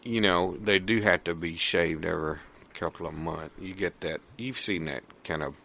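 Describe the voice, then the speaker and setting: A man playing a rambling, opinionated country old-timer in improvised comedy, gravelly and folksy, repeating himself as he talks. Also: deep voice, moderate pace, sharp tone, exaggerated voice. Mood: indignant, rambling.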